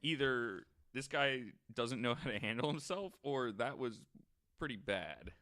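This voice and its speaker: A man's voice: mid-range voice, animated, unhurried, pushed and bright. A man co-hosting a podcast, reading out short funny items and chatting about them in a casual, wry, sweary way. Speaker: podcast co-host.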